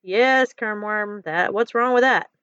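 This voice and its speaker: A woman's voice: medium pitch, unhurried, fairly bright tone, clear, resonant tone, no echo, lo-fi sound, lightly acted. A woman voicing a decisive, patient, strong construction worker man in a story, firm and matter-of-fact. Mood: reassuring.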